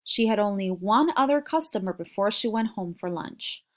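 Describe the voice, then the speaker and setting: A woman's voice: mid-range voice, lively intonation, normal speed. A woman reading a children's novel aloud as a storybook narrator.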